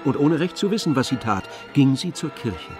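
A man narrating a literary German radio drama in a gravelly voice. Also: medium pitch, lively intonation, moderate pace. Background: faint music.

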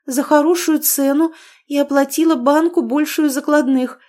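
A woman's voice: high-pitched, steady tone, moderate pace, darkish tone, pitched above the performer's own voice, natural voice. A woman voicing a young noblewoman who plays at naive, tearful outrage with a wailing, gushing manner. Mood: shrill complaint. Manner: wailing, gushing like a silly girl.

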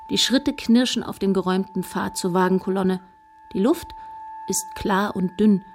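A woman's voice: medium pitch, a little mellow, a little hiss or hum, natural voice. An actress playing an observant female historian, narrating in a precise, dryly descriptive manner for a radio drama.

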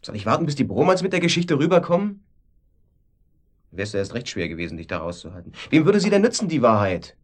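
An actor playing a domineering, controlling man in a radio drama, pressing with questions and swinging between sharp and soothing. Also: mid-range voice, very animated, quick pace. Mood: sharp, impatient. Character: domineering, controlling man, cynical and coaxing, hiding guilt. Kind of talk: naturalistic radio drama acting. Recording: studio radio play.

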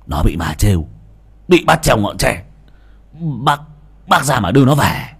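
A man voicing a shaken, drunken young village man in a husky voice. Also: lowish voice, sing-song, normal speed, full character voice. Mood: scared.

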